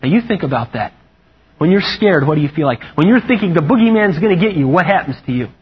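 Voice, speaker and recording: A man preaching a lively, humorous sermon, animated and playful, acting out quotes in a higher voice. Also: quick pace, breathy edge. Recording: muffled lo-fi recording.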